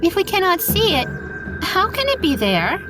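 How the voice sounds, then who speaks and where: A woman's voice: very high-pitched, wildly swooping pitch, normal speed, heavily acted. A woman voicing a curious young girl who asks many questions, with music behind. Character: curious little girl, later frightened.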